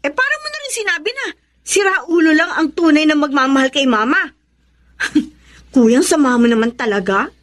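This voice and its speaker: A young adult daughter in a radio drama, very high in pitch and animated. She is earnest, supportive and sensible. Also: normal speed. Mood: defensive. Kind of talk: Tagalog radio drama acting.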